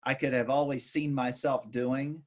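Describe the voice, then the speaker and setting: A man chatting casually as a podcast guest, often thinking aloud in a hesitant, halting way. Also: medium pitch, little pitch movement. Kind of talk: casual chat.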